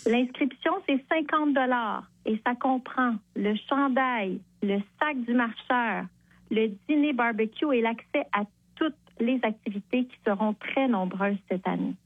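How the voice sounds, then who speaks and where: A woman's voice: fairly high pitch, measured pace, dry recording. A woman, guest on a radio show, cheerfully promoting a charity walk over the phone. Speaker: guest, charity event co-chair. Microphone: phone line. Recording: tinny phone line.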